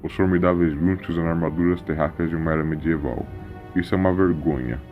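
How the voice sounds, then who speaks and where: A man voicing a gruff, proud alien soldier with guttural grunts between phrases, in an audio drama. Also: low-pitched, fairly even intonation, fast talker, narrow, tinny audio, faint music behind, voice pushed down, lightly acted. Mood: displeased.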